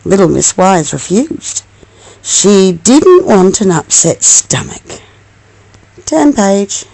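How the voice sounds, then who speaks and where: A woman reading a storybook aloud as a mother to her young child, expressive and affectionate, with coaxing asides. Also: mid-range voice, slow, gravelly.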